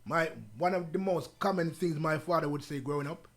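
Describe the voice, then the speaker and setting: A man from the UK with a Jamaican accent, the guest on an interview show, telling stories in a relaxed, patois-laced way. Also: a little high, a little fast. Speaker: guest artist. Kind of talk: casual interview chat.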